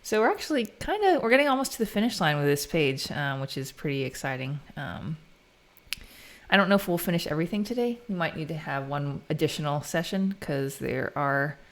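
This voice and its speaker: A woman's voice: low voice, animated, fairly quick. An American woman live streaming as she codes, chatting informally and thinking aloud in a casual way. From United States. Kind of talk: casual live stream chat while coding.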